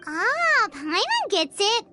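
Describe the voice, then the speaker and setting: A woman voicing a tiny floating female fairy companion who talks about herself by name, in a squeaky, chirpy voice. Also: very animated, takes it slowly, heavy background noise, exaggerated voice. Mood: eager. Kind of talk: video game voice acting.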